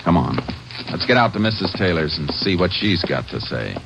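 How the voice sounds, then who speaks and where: An actor playing a calm, terse Texas Ranger investigator with an American accent, matter-of-fact in an old-time radio drama. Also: male voice, sits low, moderate pace, creaky, loud music behind the voice, exaggerated voice. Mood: serious. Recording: noisy, muffled vintage radio recording.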